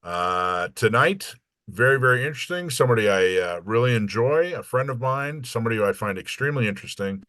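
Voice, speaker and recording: A man's voice: medium pitch, animated, brisk, a bit bright, no echo, clean studio sound. A man speaking as a host, giving an informal introduction of a guest speaker.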